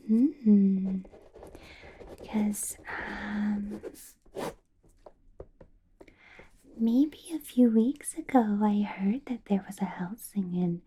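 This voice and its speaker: A woman acts out a female vampire boss in an ASMR roleplay. Her whisper is very dark and velvety, heavily breathy and unhurried. She questions quietly and possessively, coaxing with lingering hums. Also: mid-range voice, muffled recording. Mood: quietly probing, then suspicious, hushed. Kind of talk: ASMR roleplay, personal attention.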